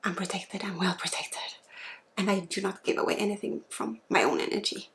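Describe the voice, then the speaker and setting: A woman gives a spiritual ASMR healing session, speaking softly and informally with pauses and often dropping into a breathy whisper. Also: mid-range voice, fairly even intonation. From Sweden. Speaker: spiritual healer and ASMR host.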